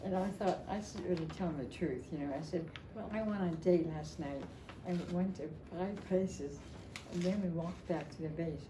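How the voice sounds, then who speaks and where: An older woman telling a personal story to a gathering in a chatty, halting way, repeating phrases as she goes. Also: fairly low pitch. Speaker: storyteller at a family memorial. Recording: echoey room, clear background noise.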